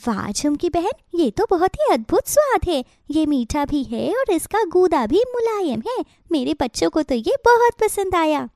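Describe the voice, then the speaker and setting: A woman voicing a caring, eager mother sparrow in a children's cartoon, with an expressive, exclaiming delivery. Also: extremely high pitch, measured pace, hardly any pauses, no room sound, clean studio sound, heavily acted. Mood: delighted.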